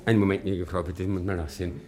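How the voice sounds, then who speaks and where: Casting: a man for a low, deep receptionist in a radio crime drama, obliging, busy and a little fussy. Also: level tone, very fast, a little mellow, close to an everyday voice. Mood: harried, courteous. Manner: courteous, fussy, harried. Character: busy, deferential male hotel receptionist. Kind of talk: 1960 German radio crime drama acting.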